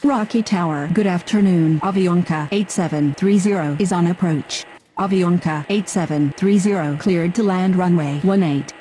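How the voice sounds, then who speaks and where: A woman acts as an air traffic controller and pilot in a video game. She sounds mellow and a little low, reciting procedural calls in a clipped manner. Faint noise runs underneath. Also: normal speed, natural voice, poor audio. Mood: businesslike. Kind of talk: scripted radio phraseology.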